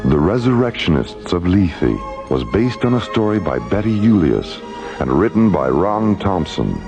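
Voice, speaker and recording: Really low slow guy announcer, solemn old-radio narration, muffled with big loud music. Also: big pitch swings. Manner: solemn, portentous. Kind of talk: dramatic scripted radio narration.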